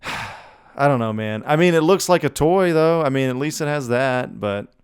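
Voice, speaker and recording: A man's voice: medium pitch, lively intonation, normal speed, clear, resonant tone. A man co-hosting a podcast, bantering casually in slangy, opinionated talk with some swearing. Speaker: podcast co-host.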